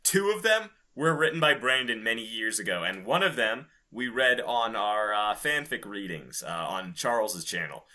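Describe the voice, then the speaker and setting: Male co-host, very forceful bright voice, lively pitch, profane and laughter-prone casual banter. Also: moderate pace, few pauses. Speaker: podcast co-host.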